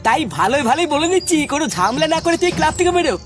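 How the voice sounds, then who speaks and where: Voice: sits high, animated, racing. A performer voicing a cocky, threatening, foul-mouthed cartoon gang leader, blunt and boastful in comic dialogue. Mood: stern, threatening. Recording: music behind the voice. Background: music.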